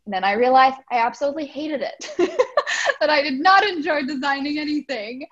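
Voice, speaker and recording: Czech-accented woman guest, eager and giggly, fairly high voice, muffled lo-fi line. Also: moderate pace. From Czech Republic. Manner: excited, laughs often. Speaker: guest, life coach. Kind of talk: podcast interview.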